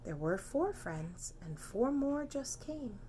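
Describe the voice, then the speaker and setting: A woman teacher reading a picture book aloud to preschoolers, gentle and unhurried, dropping to soft whispers and inviting the children to join in. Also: on the high side, sing-song, slight background noise.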